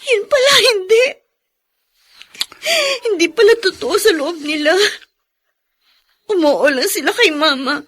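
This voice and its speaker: A woman's voice: extremely high pitch, fairly bright tone, heavily acted. A Filipino woman playing a rejected young single mother in a radio drama, wounded, tearful and pleading.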